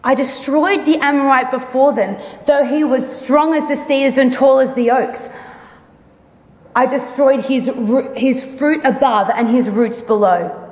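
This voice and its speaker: A woman reads scripture dramatically at a slow, deliberate pace. Her voice sits fairly high and carries a solemn, declamatory weight. It rings through a hall with long reverb. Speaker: church member reading scripture aloud. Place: large reverberant room.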